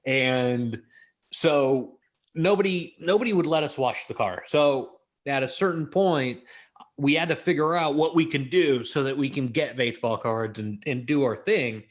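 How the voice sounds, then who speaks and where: Middle-aged man, interview guest, casual storyteller, middle pitch, lots of fillers. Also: unhurried. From born in Israel, raised in Los Angeles. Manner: chatty, many "you know" fillers, animated. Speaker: guest, creativity expert.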